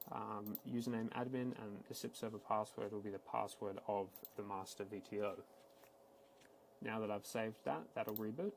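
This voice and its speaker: A man giving a casual, matter-of-fact, step-by-step software walkthrough, speaking unscripted like a tech support instructor. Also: lowish voice, steady tone, moderate pace, gravelly, slight background noise, low-quality recording. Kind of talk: screen-recorded software tutorial, unscripted walkthrough.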